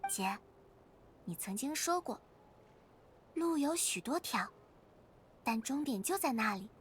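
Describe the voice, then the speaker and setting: A woman voicing an earnest, inquisitive young woman adventurer in an anime-style game, with expressive, clearly articulated delivery. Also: on the high side, a little slow. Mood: earnest, resolute. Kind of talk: scripted anime game voice acting.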